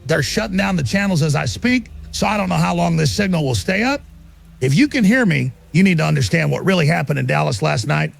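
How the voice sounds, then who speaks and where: An actor plays a desperate male pirate broadcaster in an audio drama. His voice is heavily creaky and a little high, pressing out an urgent warning. Faint music runs underneath. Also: lively intonation, a little fast, exaggerated voice. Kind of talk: dramatic emergency broadcast monologue.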